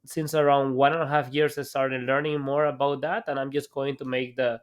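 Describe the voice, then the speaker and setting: A man's voice: mid-range voice, flat delivery, brisk. A man from El Salvador, a guest entrepreneur, talking casually in an interview with many fillers and restarts.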